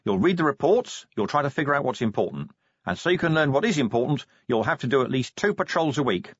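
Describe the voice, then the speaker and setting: A man's voice: mid-range voice, sing-song, fast talker, breathy edge, no echo, highs cut off, voice pushed up. A man voicing a tough middle-aged male city watch commander in an audiobook, blunt and commanding. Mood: earnest. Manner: blunt, commanding, scornful when angered. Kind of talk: audiobook character voice.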